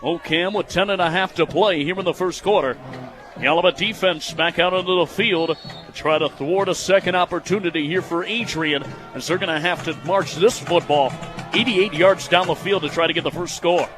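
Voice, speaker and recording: A young American man in his twenties doing live football radio play-by-play, excitable and quick to raise his voice on plays. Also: high-pitched, music in the background. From United States, Michigan. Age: 20s.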